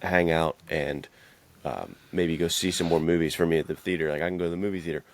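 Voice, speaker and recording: A man's voice: deep voice, quick pace. A man hosting a podcast, chatting casually in an earnest, reflective and informal manner. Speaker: podcast host, adventure fiction author. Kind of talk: casual chat about writing and self-discipline.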